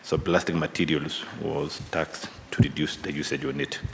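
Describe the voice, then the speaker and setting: Man, very low rough voice, halting probing questions, reverberant hall, faint background hum. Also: fairly even intonation. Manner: halting, probing, restarts mid-thought. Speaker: committee member questioning presenters. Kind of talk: parliamentary hearing questions. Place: large hearing room. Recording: long hall reverb, faint room noise.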